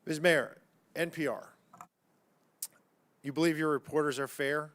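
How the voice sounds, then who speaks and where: A man's voice: fairly high pitch, fairly even intonation, a little slow, fairly bright tone. A man speaking as a congressman at a hearing, questioning witnesses in a pointed, combative and folksy way. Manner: folksy, combative, uses y'all.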